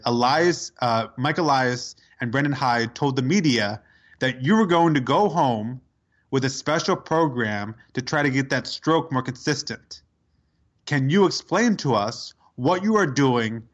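A man co-hosting a podcast, telling a sports story in casual chat, incredulous and quick to laugh. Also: medium pitch, fairly bright tone. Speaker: podcast co-host.

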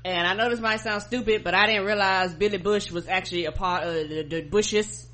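A woman's voice: mid-range voice, fairly even intonation, fairly quick, a bit bright. A woman co-hosting a podcast, chatting informally in slang and chiming in on her co-host's points. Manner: informal, agreeing interjections, slangy. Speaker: podcast co-host. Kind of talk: casual chat, reacting to co-host.

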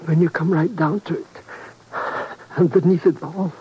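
An actor playing a self-pitying wounded rogue, halting and melodramatic, in a 1950s radio drama. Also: male voice, fairly high pitch, steady tone, lo-fi sound, close to an everyday voice. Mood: weak, plaintive. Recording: noisy old radio broadcast recording.

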